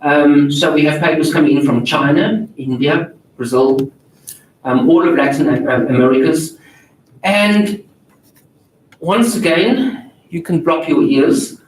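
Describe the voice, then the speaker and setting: Non-native English-speaking male editor, candid panel talk, slightly high, echoey hall. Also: measured pace. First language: not English. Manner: candid, self-deprecating, moderating questions. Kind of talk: panel discussion answering audience questions. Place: large auditorium. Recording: echoey auditorium, distant mic, faint noise.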